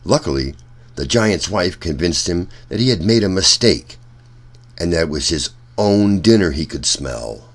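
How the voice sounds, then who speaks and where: A man reading a children's story aloud as narrator, with deliberate, measured phrasing. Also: deep voice, animated.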